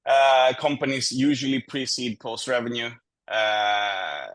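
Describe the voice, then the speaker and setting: A man from Greece talking conversationally as a consultant and guest in a business interview, candid and measured, laying out his points in order. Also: mid-range voice, fairly bright tone.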